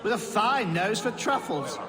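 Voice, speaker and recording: A jovial, needling medieval man for a video game. His voice is high and very animated, with sly sarcasm. It rings with the reverb of a hall. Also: measured pace, crowd noise. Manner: sly, sardonic, theatrical. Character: mocking, jovial Saxon hall idler. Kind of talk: video game dramatic acting.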